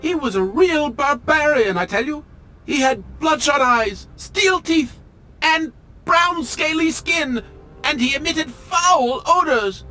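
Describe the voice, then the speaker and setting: A man's voice: very high voice, expressive, slow pace, pushed and bright, faint music behind, heavily acted, rough recording. A man playing a pompous, melodramatic automaton train engineer in a video game, with formal, fussy and theatrical diction. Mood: indignant, horrified. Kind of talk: video game character acting.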